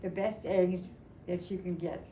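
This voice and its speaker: An older woman telling a personal story to a gathering in a chatty, halting way, repeating phrases as she goes. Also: lowish voice, steady tone. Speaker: storyteller at a family memorial. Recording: echoey room, clear background noise.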